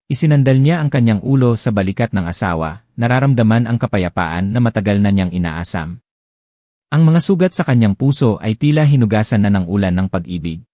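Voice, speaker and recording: A man reads a romance story aloud in a gentle, expressive storytelling way, his low voice rising and falling with a lively lilt. The tone is dark and mellow, and the recording is dry and close with no room sound. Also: dry recording. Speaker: audiobook narrator. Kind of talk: scripted storybook narration.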